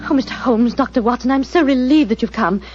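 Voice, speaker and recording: A woman's voice: high-pitched, fast, over loud music, natural voice. An actress playing a nervous, frightened young woman in an old radio drama, on a muffled old recording. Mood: anxious. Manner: nervous, hesitant. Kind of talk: 1940s radio acting.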